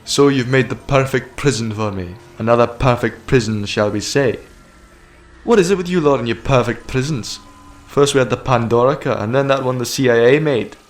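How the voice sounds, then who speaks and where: An actor playing a wry, rambling, eccentric time-travelling hero in an audio drama, with a talkative, quippy delivery. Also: male voice, medium pitch, moderate pace, lightly acted. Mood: sardonic. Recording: echoey, long reverb, music behind.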